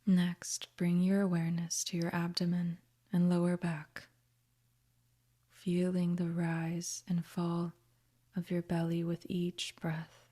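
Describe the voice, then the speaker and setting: Slow, breathy woman guiding meditation. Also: lowish voice, monotone. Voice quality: soft, breathy, half-whispered. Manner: gentle, soothing, unhurried instruction. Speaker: meditation guide. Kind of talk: guided meditation narration.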